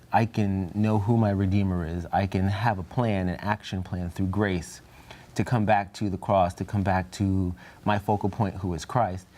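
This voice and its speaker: A man's voice: low-pitched, a little mellow. A young man in a Bible study group, talking in an earnest, reflective way and sometimes searching for words. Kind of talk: discussion group chat.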